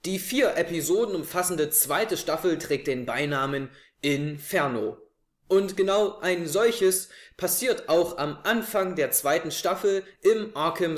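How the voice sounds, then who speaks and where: A young male host with a somewhat high voice gives a scripted review to camera. The sound is echoey, picked up by a camera mic in a living room. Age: young adult. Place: small living room, on a sofa.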